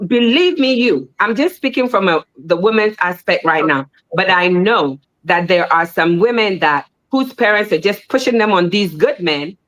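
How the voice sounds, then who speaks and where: A woman speaking casually on a discussion panel, animated and repetitive, her pitch rising sharply when she makes a point. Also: normal speed, few pauses, rough recording.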